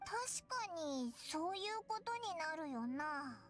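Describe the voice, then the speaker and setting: A woman's voice: sits high, very animated, slow pace, soft background music, heavily acted. A woman playing a tiny, cheeky female fairy companion in an anime video game, with a cute manner.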